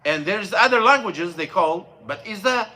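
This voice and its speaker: Bright, high-voiced man teaching a mosque class. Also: animated, low-quality recording. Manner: halting, searching for words, many fillers; non-native English grammar. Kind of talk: informal mosque lesson explaining scripture.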